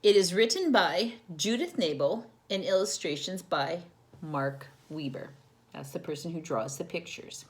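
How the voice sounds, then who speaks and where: Lady teacher reading a kids book, real slow, lowish voice, asks the kids stuff, encouraging. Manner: expressive, encouraging, addresses children directly with questions. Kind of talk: read-aloud storytime for young children.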